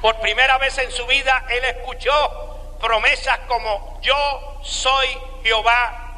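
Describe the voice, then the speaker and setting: A man with a Cuban accent giving a slow, deliberate sermon testimony with dramatic pauses. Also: extremely high pitch, steady tone, sharp tone, long reverb tail. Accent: Cuban Spanish. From Cuba.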